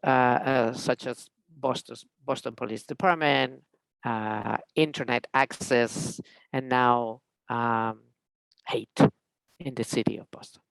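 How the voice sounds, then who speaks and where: A middle-aged woman from Spain with a Spanish accent, chairing a public meeting in a halting, deliberate way. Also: rumbling low, creaky, no echo, poor audio. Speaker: commission chair.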